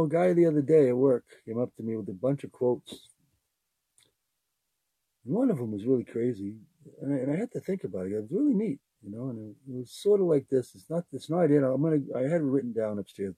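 An older man with a very husky, mellow voice talks to his listeners unscripted, earnest and rambling, circling back over the same phrases. His pitch swings widely as he talks, and the sound is dry and close. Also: expressive, brisk, no echo, rough recording. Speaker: podcast host. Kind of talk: unscripted heartfelt monologue.

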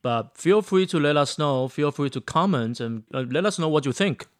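Taiwanese man, middle-aged, podcast co-host, smooth voice, dry close sound, filler-heavy chat. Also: on the high side, expressive, normal speed, no room sound. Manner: friendly, with frequent fillers.

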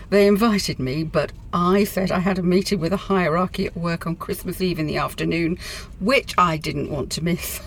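A woman's voice: lowish voice, moderate pace, vocal fry, a little hiss or hum. An actress playing a distraught middle-aged office woman in a radio play, with a wordy, wounded, dramatic delivery. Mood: embarrassed, rueful.

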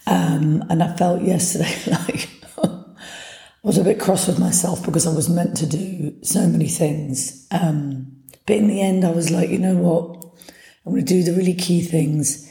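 Hoarse woman podcaster, low gravelly voice. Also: steady tone, moderate pace, mellow. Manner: chatty, upbeat, motivational. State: hoarse, partly lost voice. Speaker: podcast host. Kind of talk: casual solo podcast talk. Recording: echoey room.

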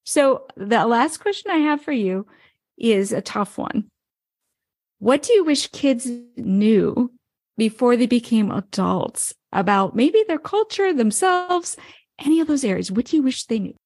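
A woman's voice: a little high, expressive, measured pace, a little mellow, no echo, clean studio sound. A woman hosting a podcast who asks interview questions in a friendly, affirming way. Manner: friendly and affirming, laughs easily. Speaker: podcast host.

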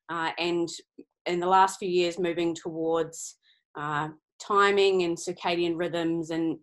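Kiwi woman in her thirties, lower voice, measured and thoughtful podcast guest. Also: a bit bright. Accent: New Zealand, slightly Australian-tinged. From New Zealand. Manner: thoughtful, with frequent ums and restarts. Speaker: research scientist guest. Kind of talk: casual podcast interview.

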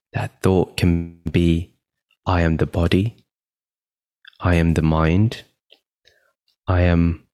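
Male meditation guide, very low pitch, unhurried and calm delivery with long pauses, dry close sound. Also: no room sound.

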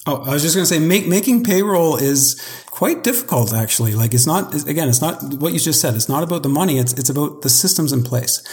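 A man from Canada, a guest in a casual podcast conversation, hesitant and searching for words, often repeating himself, with sudden upward jumps in pitch. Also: expressive, fast, hardly any pauses, darkish tone. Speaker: guest, company co-founder.

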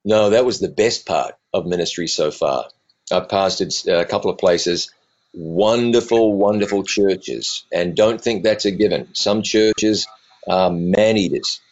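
A middle-aged man with a New Zealand accent softened by years in the US, telling his story in an animated, joking way. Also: low-pitched, a little slow, highs cut off. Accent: New Zealand, softened by decades in the US. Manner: animated, self-deprecating, jokey. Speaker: evangelist and TV presenter. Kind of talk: storytelling interview answers.

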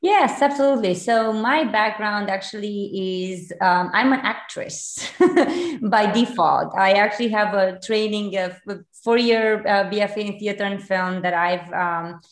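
A woman's voice: medium pitch, measured pace. A woman in her forties from Romania, a realtor guest in a casual interview, chatty with many fillers and restarts. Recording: echoey room.

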